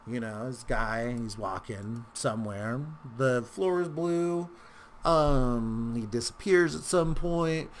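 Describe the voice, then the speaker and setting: A man with a mid-pitched voice and a gravelly, creaky edge talks through a software tutorial. His delivery is informal and rambling, dotted with wry asides. There is some noise behind him on the recording. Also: slow. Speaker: tutorial host.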